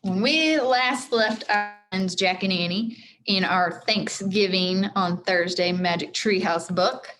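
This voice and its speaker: A woman reading a children's chapter book aloud in an expressive teacherly style, speaking directly to her young listeners. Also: medium pitch, slow, faint music behind. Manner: addresses young listeners directly, acts out dialogue.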